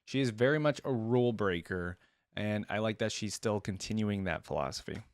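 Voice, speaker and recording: A man's voice: fairly low pitch, fairly quick, no room sound, clean studio sound. A man giving casual reaction commentary as a video host, thinking aloud in an informal, rambling way.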